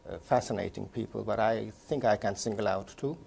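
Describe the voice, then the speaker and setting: Man, 60s, from Turkey; low gravelly voice, measured interview answers, faint room hum. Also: a little mellow. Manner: measured, recounting career step by step. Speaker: interviewee, neurologist. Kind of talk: oral history interview.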